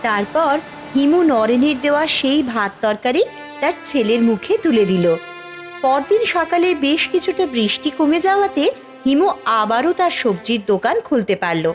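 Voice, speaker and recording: A woman's voice: high voice, lively intonation, moderate pace, soft background music. A woman narrating a cartoon folk story in scripted storytelling style.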